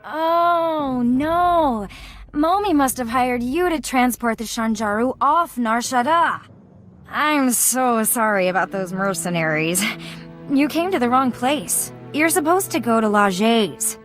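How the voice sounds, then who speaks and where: A young idealist rebel girl in a game, highish voice, earnest, music behind. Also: expressive, slow pace, fairly bright tone. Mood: apologetic, flustered. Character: defiant, idealistic young female activist. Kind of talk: video game character acting. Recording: music under the voice.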